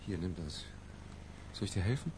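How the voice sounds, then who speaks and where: An actor playing a polite, secretly infatuated middle-aged lodger in a radio play, speaking in a reserved, hesitant way. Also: male voice, deep voice, very animated, normal speed, darkish tone, a little hiss or hum. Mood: hushed, attentive.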